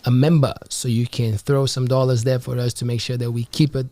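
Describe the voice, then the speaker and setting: A man's voice: medium pitch, level tone, fairly quick, mellow. A man hosting a podcast, running through an upbeat promotional intro, then interviewing with frequent restarts. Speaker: podcast host.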